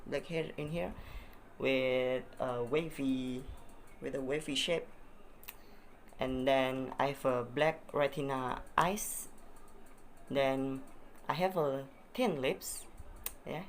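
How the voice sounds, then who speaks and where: Young Indonesian man, English not his first language, chatty vlog delivery, halting and repetitive, light background noise. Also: on the high side. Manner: hesitant, repeats phrases, many uh fillers. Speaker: vlogger. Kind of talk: casual self-introduction to camera.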